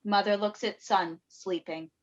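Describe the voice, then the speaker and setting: A woman with a medium-pitched voice reads stage directions for a play. It is scripted narration, heard through dull, lo-fi video call sound. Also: fairly even intonation, measured pace.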